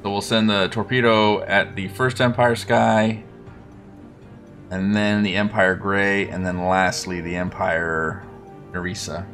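A man giving casual, unhurried gameplay commentary, thinking aloud as he plans. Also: lowish voice, steady tone, fairly bright tone, soft background music. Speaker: gaming video host.

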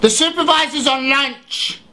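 A man voicing a snooty, obstructive female bank operator in shrill falsetto, with drawn-out condescending delivery, in a comedy sketch. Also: bright, forceful tone, slight background noise, heavily acted. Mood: smug, insistent.

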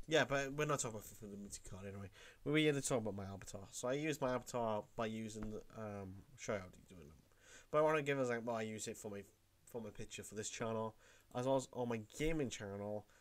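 Young man, head cold, rambling, repeats himself, casual home vlog. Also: lowish voice. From Wales. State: has a cold. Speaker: YouTuber. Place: indoor room at home.

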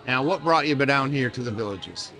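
A man's voice: mid-range voice, lively intonation, moderate pace, a bit bright, low-quality recording. An older man hosting a casual interview on a restaurant patio, asking easygoing questions. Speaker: host.

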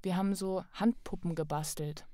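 A woman's voice: on the low side, steady tone, moderate pace, mellow. A woman telling personal anecdotes as a casual podcast guest, often correcting herself as she goes.

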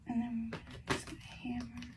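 A woman's voice: fairly high pitch, completely flat delivery, moderate pace, background noise. A woman in her twenties doing a soothing, reassuring ASMR role-play as a repair technician, murmuring in short fragments, close up in a bedroom. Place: bedroom, indoors. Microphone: close to the camera, leaning in.

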